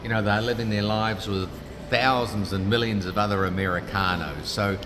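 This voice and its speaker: A middle-aged man with a New Zealand accent, a business founder making an upbeat, punchy pitch in a casual interview. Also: fairly even intonation, normal speed, noisy background. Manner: upbeat, punchy salesman delivery. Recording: background music, trade fair.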